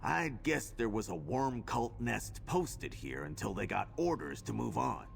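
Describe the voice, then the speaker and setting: An actor playing a gruff, wry, well-travelled adventurer in a video game, with a gravelly voice and blunt, folksy talk. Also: male voice, medium pitch, sing-song, a little slow, faint music behind, full character voice. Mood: thoughtful, friendly. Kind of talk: video game character dialogue.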